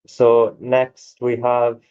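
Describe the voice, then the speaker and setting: Man, session moderator, brief polite intros, mid pitch, distant from mic, lo-fi. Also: completely flat delivery, drawn-out words, darkish tone, clean tone.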